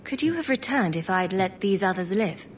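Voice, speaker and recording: A woman playing a calm, diplomatic young mage adventurer in a video game, speaking in an earnest, measured way. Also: mid-range voice, faint music behind, natural voice. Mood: earnest, concerned. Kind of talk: video game cutscene acting.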